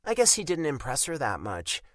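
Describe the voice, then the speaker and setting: A man voicing a teasing, sharp-tongued young adult sister in an audiobook. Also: fairly high pitch, huge pitch swings, brisk, dry recording, studio quality, pitched above the performer's own voice. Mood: needling.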